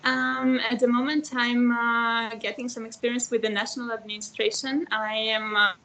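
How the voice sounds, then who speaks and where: Young woman from Romania, panelist on a video call, fairly high voice, flat and hesitant delivery. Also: moderate pace, a little hiss or hum, rough recording. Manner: hesitant, many fillers. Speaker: panelist, former EU trainee. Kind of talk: online panel talk.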